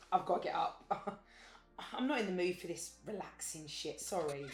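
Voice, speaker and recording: A woman's voice: lowish voice, lively intonation, slow pace, faint music behind. A woman playing a sardonic, exasperated but loyal friend in a naturalistic audio drama, with a dry manner. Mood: weary, coaxing. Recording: roomy, echoing sound.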